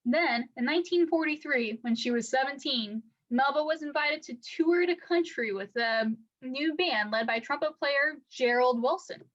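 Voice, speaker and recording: A woman reading a picture book aloud for children like a teacher, with expressive, deliberate storytelling. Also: high voice, highs cut off.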